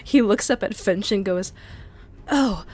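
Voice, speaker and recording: A woman's voice: mid-range voice, expressive, slow. A woman playing a tabletop game, casually describing what her character does.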